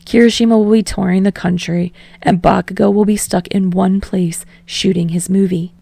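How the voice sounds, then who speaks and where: Brief: female reader, calm story narration, slight fry, dry close sound. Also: medium pitch, normal speed, no room sound. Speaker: podfic reader. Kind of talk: scripted narration of fan fiction.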